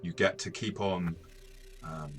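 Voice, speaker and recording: A man's voice: deep voice, fairly even intonation, slow pace, soft background music. A man co-hosting a casual, analytical game review, explaining things in a hesitant way and often rephrasing mid-sentence.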